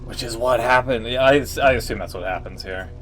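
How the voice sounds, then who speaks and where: Guy streaming games, casual rambling blunt commentary, mid pitch, faint music behind. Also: a little fast, fairly bright tone. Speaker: game streamer.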